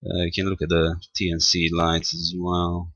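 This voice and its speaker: A man giving an informal technical demonstration as a presenter, halting and thinking aloud as he goes. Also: deep voice, completely flat delivery, fairly bright tone, dry recording. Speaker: amateur radio tutorial presenter. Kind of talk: informal spoken software demonstration.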